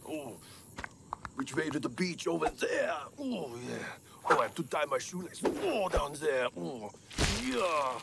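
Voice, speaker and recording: A man's voice: fairly high pitch, sing-song, measured pace, vocal fry, noisy background, full character voice. A man voicing a vain, mocking cockroach brute in a children's cartoon, boastful and taunting over music. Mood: vain, smug. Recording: loud music behind the voice.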